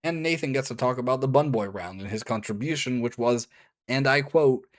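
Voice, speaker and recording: A man narrating a written review at an ordinary mid pitch, casual and chatty, with offhand asides between points. His voice sounds worn and slightly hoarse from long talking. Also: a little fast. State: voice strained and hoarse. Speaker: video reviewer.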